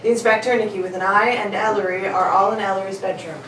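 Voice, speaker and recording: A woman narrates scripted scene directions for a radio play at a medium pitch. She is a little far from the mic in an echoey room with clear background noise. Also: steady tone, quick pace, a bit bright.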